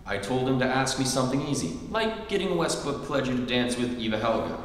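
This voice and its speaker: An actor playing a patient, deadpan comedian husband in a staged 1940s radio comedy. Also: male voice, mid-range voice, brisk, lightly acted. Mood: wry. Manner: dry, wry deadpan delivery. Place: theatre stage. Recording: echoey theatre, faint hiss.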